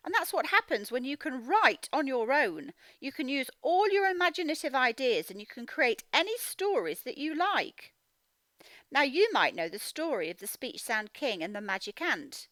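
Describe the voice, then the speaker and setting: A woman teaching a children's reading lesson, expressively reading aloud and sounding out words slowly. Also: fairly high pitch, pushed and bright, dry recording. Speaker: phonics teacher.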